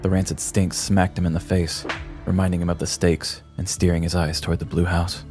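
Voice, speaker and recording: Casting: male narrator, very deep and even voice, clear music underneath. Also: brisk. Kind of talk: scripted comedic narration.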